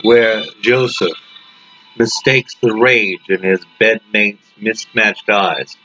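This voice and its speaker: Male narrator, low register, deliberate and dramatic horror storytelling, muffled lo-fi sound. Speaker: author reading own story. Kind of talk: scripted gothic horror narration. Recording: dull lo-fi audio under loud music. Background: loud music.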